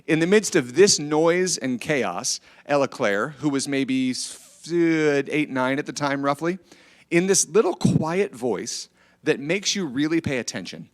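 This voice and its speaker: A man's voice: on the high side, a little slow. A middle-aged American man giving an informal motivational talk, telling stories conversationally and often checking in with his listeners. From Iowa, United States. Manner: conversational, animated storytelling, seeks agreement with tag questions. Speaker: speaker at a church family event. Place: church, speaking to a gathered group.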